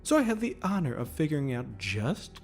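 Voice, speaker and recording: Audio drama villain, sadistic demon, sardonic, drawling, animated intonation, mock-courteous, music behind. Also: male voice, a little high, brisk, creaky. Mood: mock-sweet menace. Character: sadistic, mocking demon predator, theatrically polite, menacing.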